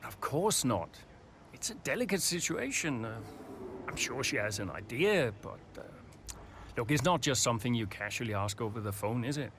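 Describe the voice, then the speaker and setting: An actor playing a tactful, caring racing team boss in a video game drama, sincere and persuasive. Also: male voice, medium pitch, expressive, moderate pace, fairly bright tone, slight background noise. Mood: defensive, careful.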